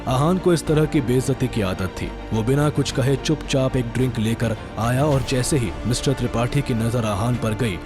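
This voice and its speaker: A man's voice: medium pitch, normal speed, hardly any pauses. A man narrating a scripted audio drama story, with music playing behind him. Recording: loud music bed behind voice.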